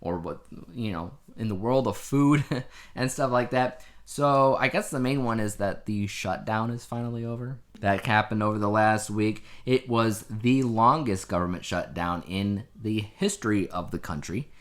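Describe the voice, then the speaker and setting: A man's voice: on the low side, normal speed. Casual male podcast host. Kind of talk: casual news chat.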